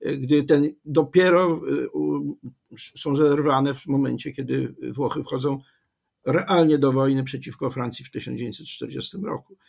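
Starszy mężczyzna o chropawym, zachrypniętym głosie, rozmowa wideo. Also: male voice, medium pitch, creaky, darkish tone, slightly rough. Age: older. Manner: measured, digressive, with many fillers and self-corrections. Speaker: history professor. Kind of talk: lecture-style interview answer.

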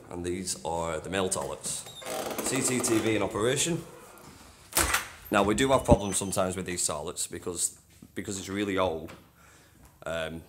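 Deep-voiced man rambling on a phone vlog. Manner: chatty, rambling, says "you know what I mean". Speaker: station cleaner and vlogger. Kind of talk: casual walking tour vlog. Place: old train station toilets and platforms at night. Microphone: handheld phone.

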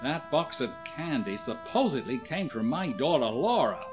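An actor playing a crusty, irritable older man, gruff, curt and nervously stammering, in a 1940s radio play. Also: medium pitch, very animated, normal speed, natural voice. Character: crusty, jittery, irritable older American father. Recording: vintage 1940s radio, music behind.

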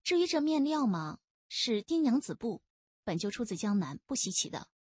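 A woman voicing a cheeky, eager, quick-witted young policewoman in an audiobook. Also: fairly high pitch, big pitch swings, breathy edge, dry recording. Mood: matter-of-fact, keen.

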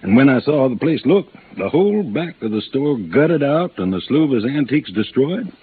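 An actor playing a folksy, excitable insurance agent in a 1950s radio drama, emphatic and drawing out words. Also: male voice, medium pitch, normal speed, close to an everyday voice. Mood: riled up. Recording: noisy, narrow-band old radio recording.

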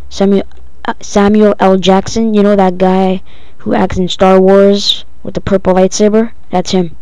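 A female-sounding speaker giving a casual, halting, improvised step-by-step software tutorial. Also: mid-range voice, little pitch movement, clean tone, dry recording. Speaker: tutorial presenter. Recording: dull lo-fi home recording.

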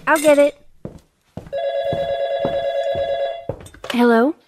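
A woman plays a worried young bride-to-be in a family radio drama. Her voice is high and anxious. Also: fairly even intonation, brisk, heavy background noise, natural voice. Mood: dismayed.

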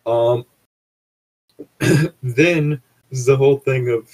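A man's voice: mid-range voice, extremely slow, darkish tone, low-quality recording. A man bantering casually and reading aloud as a show host, with a sweary, exasperated manner.

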